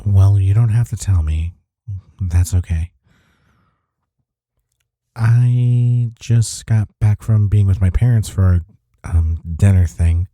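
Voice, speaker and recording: Devoted boyfriend for comfort audio roleplay, played by a male actor: low, very dark warm voice, soft-spoken, gentle, reassuring. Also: slow pace, pauses often, no room sound, clean studio sound. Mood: caring, concerned.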